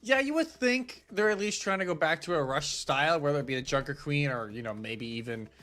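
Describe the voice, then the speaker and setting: A man giving conversational, explanatory analysis as a live esports shoutcaster. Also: a little high, expressive, a little fast. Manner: casual, explanatory. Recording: music behind the voice. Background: music.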